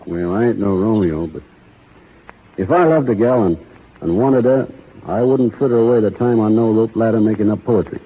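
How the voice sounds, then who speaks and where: An actor playing a laconic young cowboy who talks in a slow, folksy rural drawl, in a 1930s radio drama. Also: male voice, fairly low pitch, breathy edge. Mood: opinionated. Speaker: lead actor in a radio play. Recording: tinny 1930s radio broadcast with faint hiss.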